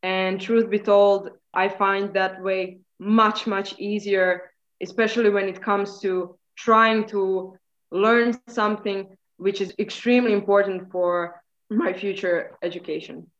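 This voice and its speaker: A woman's voice: mid-range voice, fairly even intonation, unhurried, lo-fi sound. A young woman from Croatia, an undergraduate speaking earnestly in an interview. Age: young adult. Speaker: undergraduate student guest. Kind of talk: casual interview answers.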